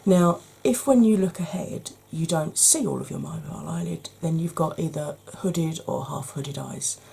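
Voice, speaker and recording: Hoarse, husky low-voiced woman. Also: moderate pace, mellow. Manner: chatty, addresses viewer directly, frequent ums. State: hoarse from hay fever. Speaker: makeup tutorial host. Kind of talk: casual step-by-step makeup tutorial.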